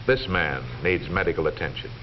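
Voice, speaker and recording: A middle-aged American man giving formal, measured, authoritative scripted narration in the manner of a 1940s educational film. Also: deep voice. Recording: dull vintage 1940s film soundtrack, faint noise.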